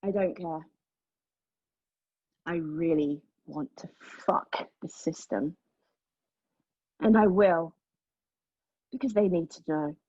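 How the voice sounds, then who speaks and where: An actress in a table read of a play, playing an agitated, defiant, outspoken woman who speaks haltingly and emotionally. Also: lowish voice, mellow, slightly rough, no room sound, highs cut off. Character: defiant, agitated woman arguing with a machine, giving personal testimony.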